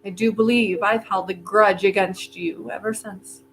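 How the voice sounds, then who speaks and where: A woman's voice: medium pitch, steady tone, normal speed, a little hiss or hum, close to an everyday voice, rough recording. A woman in a staged play reading, playing an arrogant, defiant inventor with a sardonic, theatrical manner. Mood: regretful, bitter. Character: arrogant, brilliant, defiant inventor bargaining with Death.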